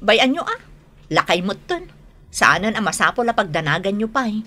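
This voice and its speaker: A grown daughter in an Ilocano radio drama. Her mid-pitched voice has an Ilocano accent, and she sounds exasperated, sighing and complaining over family trouble. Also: very animated, moderate pace, pushed and bright.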